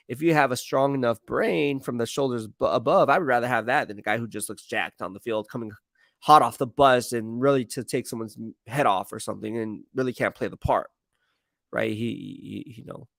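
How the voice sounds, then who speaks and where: A man's voice: mid-range voice, creaky, a bit bright, dry recording, studio quality. A man chats casually on a sports live stream, rambling with slangy emphasis and often repeating himself as he answers viewers. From San Francisco Bay Area, United States. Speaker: sports streamer and football commentator.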